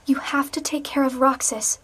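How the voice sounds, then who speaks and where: An actress playing a gentle, wistful teenage girl in a video game cutscene, soft and earnest. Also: high voice, fairly even intonation, normal speed, a crowd in the background, close to an everyday voice. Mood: pleading.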